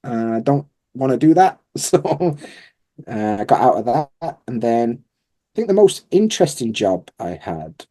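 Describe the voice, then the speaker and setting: Yorkshire man with a mellow, lively voice. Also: medium pitch, unhurried. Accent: Yorkshire English. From Yorkshire, England. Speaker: guest, English teacher. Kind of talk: casual conversational interview.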